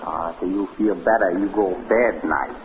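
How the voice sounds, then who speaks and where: An actor playing a bossy, fussing house cook with a Chinese accent and clipped broken English, in a 1940s radio drama. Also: male voice, deep voice, moderate pace, close to an everyday voice. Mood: scolding. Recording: dull, noisy old radio recording.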